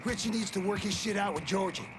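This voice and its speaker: A man as a high-voiced casual gang henchman. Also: fast talker, background noise, lightly acted. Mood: uneasy. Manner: casual, slangy.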